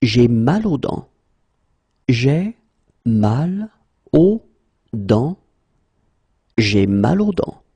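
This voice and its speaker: A man teaching French in a pronunciation drill, slowly repeating each phrase and then saying it word by word. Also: medium pitch, very animated, warm tone, husky, no room sound.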